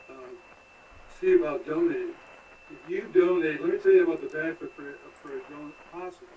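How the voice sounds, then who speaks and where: A man in his seventies with a somewhat high voice gives an unscripted personal account. He explains things in a halting, piece-by-piece way. The radio link sounds distant, with light noise around him. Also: narrow, tinny audio. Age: 70s. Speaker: interview guest, transplant recipient.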